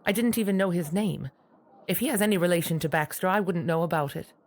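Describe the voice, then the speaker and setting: A woman's voice: lowish voice, quick pace, natural voice. An actress playing a loyal, motherly guardian, composed and earnest, in an audio drama. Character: loyal, concerned motherly guardian woman, gently pressing.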